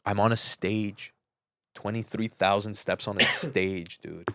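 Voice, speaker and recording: A man's voice: fairly low pitch, fairly even intonation, unhurried, fairly bright tone, clean tone. A man co-hosting a podcast in casual chat, telling personal stories emphatically and repeating words for stress. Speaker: podcast co-host.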